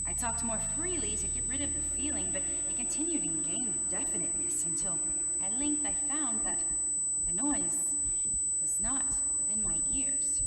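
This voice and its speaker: An actress playing a guilt-crazed, unhinged murderer confessing in dramatic, formal language in a gothic audio drama. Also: female voice, mid-range voice, big pitch swings, moderate pace, close to an everyday voice. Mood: growing unease. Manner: dramatic, archaic formal diction. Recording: echoey reverberant hall with loud music behind.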